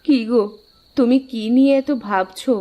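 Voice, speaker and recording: A woman's voice: on the high side, normal speed, mellow, natural voice. A woman voicing a concerned, practical village wife in a cartoon, coaxing and chiding, on a dull recording. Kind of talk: cartoon dialogue.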